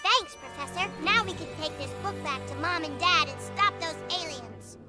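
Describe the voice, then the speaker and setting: A woman's voice: high-pitched, moderate pace, over loud music, natural voice. A woman doing an earnest little cartoon girl. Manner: earnest, conscientious. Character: earnest, studious eight-year-old cartoon girl. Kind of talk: video game cutscene acting.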